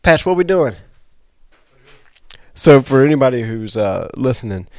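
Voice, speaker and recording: A man's voice: medium pitch, big pitch swings, a little slow, darkish tone, no echo. A man hosting a podcast in loose, rambling casual chat, sounding a bit congested from allergies. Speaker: podcast host.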